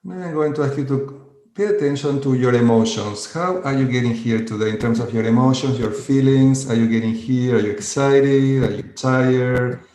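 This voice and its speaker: Brief: man, coach on a video call, slow gentle guidance, roomy sound. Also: mid-range voice. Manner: slow, gentle, inviting instructions. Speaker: coach and conference presenter. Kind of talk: guided centering exercise.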